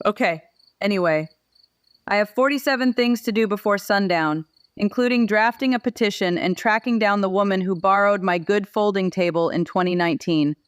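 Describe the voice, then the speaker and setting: A woman's voice: mid-range voice, moderate pace, clean tone, no room sound, studio quality, natural voice. A woman playing a feisty, busy small-town activist in an audio drama, emphatic, determined and a little theatrical.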